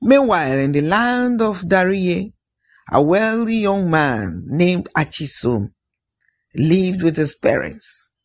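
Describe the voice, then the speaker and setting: A man narrating an African folktale as an oral storyteller, with dramatic phrasing, pauses and musing interjections, in a husky voice. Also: high-pitched, sing-song.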